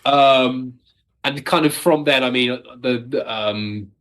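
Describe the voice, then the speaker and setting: A man's voice: medium pitch, slow, a bit bright, poor audio. A British man chatting casually as an interview guest, self-deprecating and wry, talking as a writer.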